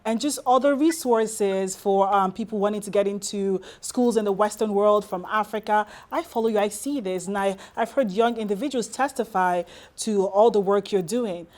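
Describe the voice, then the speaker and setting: A woman's voice: medium pitch, normal speed, darkish tone. A woman hosting an interview in a conversational, effusive and admiring way. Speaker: interview host.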